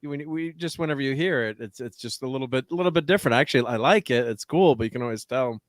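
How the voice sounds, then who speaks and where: A man chats on a livestream in a medium-pitched voice. He rambles, chuckles and fills his talk with "you know." The sound is dry and close. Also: quick pace, no echo. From New York area. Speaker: co-host. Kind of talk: casual livestream chat.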